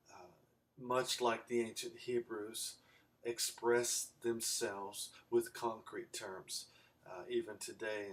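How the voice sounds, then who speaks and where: Slow, halting man, distant from the mic. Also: on the low side. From raised in Belgium. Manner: halting, deliberate, with long pauses. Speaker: amateur Bible scholar giving a video response. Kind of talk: informal explanatory lecture.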